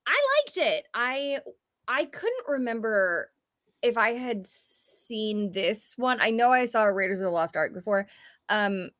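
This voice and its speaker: A woman's voice: fairly high pitch, a bit bright, dry recording, studio quality. A woman giving her chatty, enthusiastic take as a panelist in a casual podcast discussion.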